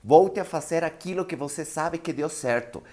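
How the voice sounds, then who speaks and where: A man's voice: on the high side, normal speed, warm tone. A man whose first language is Spanish, with a Spanish-speaking accent, giving a live motivational seminar talk as an emphatic storyteller who keeps checking in with his listeners.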